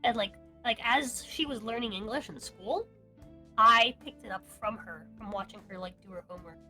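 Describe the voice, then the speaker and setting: Excitable, bright-voiced young woman, native Spanish speaker. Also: on the high side, normal speed, faint music behind. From United States, of Cuban parents. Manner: excitable, sweary, giggly. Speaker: online streamer being interviewed. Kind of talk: casual chat.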